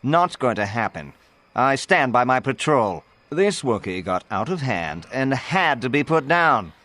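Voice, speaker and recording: An actor playing a brusque, defensive, blustering patrol captain in a video game, with a curt, officious, irritable manner. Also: male voice, medium pitch, sing-song, a little slow, sharp tone. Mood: irritated, defensive.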